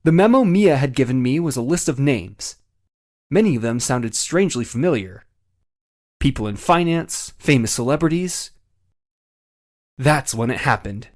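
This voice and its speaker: A man's voice: medium pitch, animated, normal speed, long pauses, close to an everyday voice. A man acting an earnest, anxious young defence lawyer in a video game drama, emphatic and pleading. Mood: determined. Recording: music under the voice.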